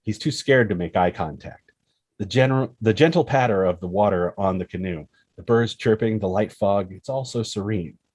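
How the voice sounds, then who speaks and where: A man narrates screenplay action with dramatic, expressive delivery in short punchy phrases. His voice is low and a little husky, recorded very dry. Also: moderate pace, dry recording. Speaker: screenplay reader.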